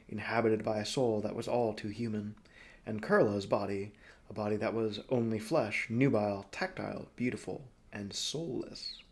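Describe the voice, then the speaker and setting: A man narrating a story as an audiobook reader, expressive and dramatic, phrasing deliberately. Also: on the low side.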